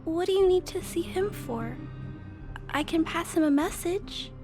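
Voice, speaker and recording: A woman voicing a timid, polite, earnest young girl in a video game, soft-spoken and hesitant. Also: very high voice, lively intonation, unhurried. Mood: worried, hesitant. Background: background music.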